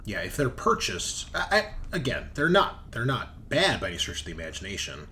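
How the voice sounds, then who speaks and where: A man's voice: fairly low pitch, wildly swooping pitch, a little fast, pushed and bright, slight background noise. A man running a tabletop game as game master, casually narrating and thinking aloud with informal, sweary phrasing. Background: game background music.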